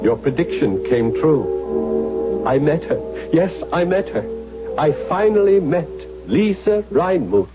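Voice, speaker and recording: An actor playing an earnest, fervent young political zealot with an intense, rhapsodic manner in a 1970s radio drama. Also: male voice, a little high, very animated, takes it slowly. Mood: excited, devoted. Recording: muffled old radio recording, faint music.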